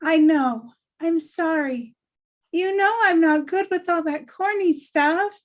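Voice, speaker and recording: A woman's voice: extremely high pitch, slow pace. A woman playing an earnest, practical young good girl in a table read of a TV script. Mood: apologetic.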